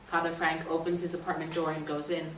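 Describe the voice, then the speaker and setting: Lowish female narrator, roomy and distant. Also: little pitch movement, a little fast. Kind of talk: scripted stage-direction narration. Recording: roomy, distant mic, faint noise.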